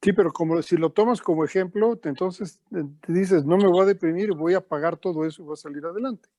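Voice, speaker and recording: A man with a fairly high voice speaks in a measured, reflective way during a panel discussion. His voice is recorded dry, with little room sound. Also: warm tone, no room sound, dull audio.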